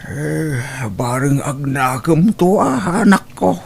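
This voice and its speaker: A man with an Ilocano accent acting a weary, worried, gentle old father in an audio drama. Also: fairly high pitch, lively intonation, slow, creaky, slight background noise, heavily acted.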